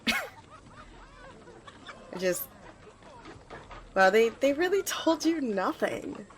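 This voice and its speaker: A woman's voice: on the high side, big pitch swings, extremely slow, slight background noise, exaggerated voice. A woman playing an eager, earnest, slightly nervous magical squire in an ASMR roleplay, talking to the listener in a hesitant, rambling, reassuring way. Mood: amused, flustered.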